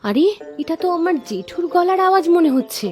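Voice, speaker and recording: A woman's voice: very high-pitched, lively intonation, a little fast, a little mellow, soft background music. A woman voicing a hospitable, slightly anxious village housewife in a cartoon. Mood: surprised, welcoming. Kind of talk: Bengali cartoon voice acting.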